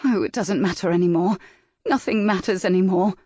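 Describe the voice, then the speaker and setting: A woman's voice: mid-range voice, brisk, mellow, no room sound, clean studio sound, lightly acted. A woman voicing a timid, distraught young lady's companion in an audiobook, pleading and fretful. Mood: despairing.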